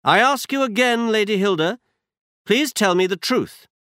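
A man's voice: high voice, lively intonation, moderate pace, pushed and bright, clear, resonant tone, dry recording, clean studio sound, pitched above the performer's own voice. A man voicing a cool, insistent male detective in a storybook reading, firm and pressing.

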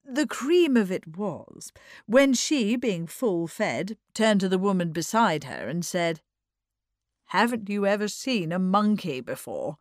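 Woman doing a pompous, drawling upper-class gentleman. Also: medium pitch, sing-song, slow, no room sound, studio quality. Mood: relishing anecdote.

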